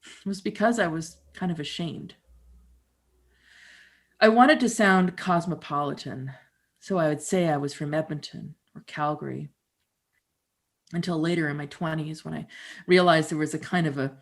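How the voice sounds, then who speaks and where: Woman in her fifties telling a story. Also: sits low. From Canada. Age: 50s. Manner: intimate, conversational storytelling with dramatic pauses. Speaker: storyteller and musician. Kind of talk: theatrical first-person monologue.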